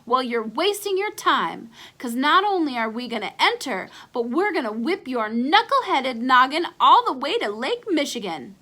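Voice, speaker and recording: A woman's voice: high voice, big pitch swings, normal speed, few pauses, sharp tone, heavily acted. A woman voicing a feisty, scrappy boy who hollers and grumbles indignantly in a children's storybook. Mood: defiant. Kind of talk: children's storybook read-aloud character.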